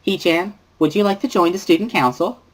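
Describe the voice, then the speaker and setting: A woman playing a bubbly, excitable teenage schoolgirl in a dramatic reading of a visual novel, with a singsong, giggly manner. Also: on the low side, a little fast, lightly acted. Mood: encouraging. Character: bubbly teenage schoolgirl relaying a friend's signed words.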